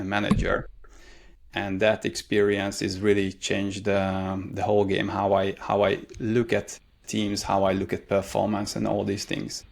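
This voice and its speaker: A man's voice: on the low side, vocal fry. A man in his thirties from Hungary, speaking English with a Hungarian accent as a guest in an interview, answering thoughtfully with frequent hesitations. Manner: thoughtful, many hesitations and fillers. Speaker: guest, career mentor.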